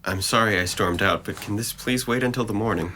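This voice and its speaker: Man acting a deep-voiced, downcast young man. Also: a little fast, bright, forceful tone, a little hiss or hum. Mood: anxious, apologetic. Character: depressed, self-critical young college-age nephew, apologetic. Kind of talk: audio drama dialogue.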